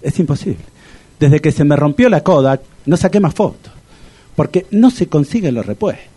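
An actor playing a bumbling, naive older bachelor in a radio comedy, stammering and repeating himself in a Rioplatense Argentine accent. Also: male voice, on the high side, very animated, moderate pace, warm tone, breathy edge. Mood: fussy, naive. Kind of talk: radio comedy sketch acting.

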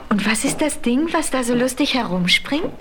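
A woman's voice: high voice, expressive, normal speed. A woman playing a curious, polite young princess in a fairy-tale radio play, on a noisy vinyl transfer. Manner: eager, polite. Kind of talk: 1970s German fairy-tale audio drama acting.

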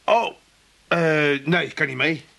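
A nervy, excitable working-class son from a comic radio play. His voice is fairly high and very lively in pitch, with a bright edge and a flustered, colloquial way of talking. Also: unhurried, gravelly, husky, full character voice. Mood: panicky. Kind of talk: comic radio drama acting.

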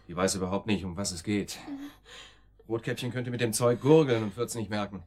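Man acting a husky, muttering gangster sidekick. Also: fairly low pitch, a little fast, darkish tone, natural voice. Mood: placating. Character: quieter, brooding, soothing gangster sidekick to his boss. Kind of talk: German radio drama acting.

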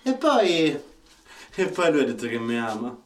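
A man's voice: mid-range voice, sing-song, normal speed, faint music behind, close to an everyday voice. An actor playing a naive, lovestruck, touchy man in a tragicomic radio play, with a whiny, emotional delivery. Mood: hurt, insistent. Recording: roomy, distant, faint noise.

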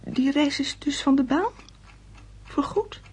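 An actress playing a gentle, puzzled wife in a radio play, softly and tentatively questioning her husband. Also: high-pitched, animated, slow. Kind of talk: naturalistic radio play acting.

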